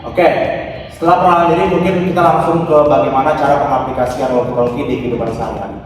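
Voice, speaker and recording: A man's voice: mid-range voice, fairly even intonation, rapid-fire. A young man giving an informal student group presentation. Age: young adult. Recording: echoey hall, distant mic, music behind.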